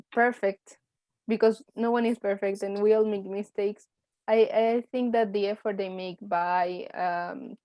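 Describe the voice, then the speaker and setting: A woman's voice: medium pitch, mellow, no room sound. A young woman with a Spanish accent, a university student speaking hesitantly in a class discussion. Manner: hesitant, many restarts and fillers.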